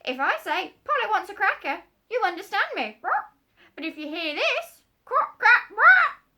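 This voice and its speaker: Storybook parrot, proud and bossy, loud, very high squawks. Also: female voice, big pitch swings, moderate pace, heavily acted. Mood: squawky, bossy. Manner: squawking, sharp, emphatic.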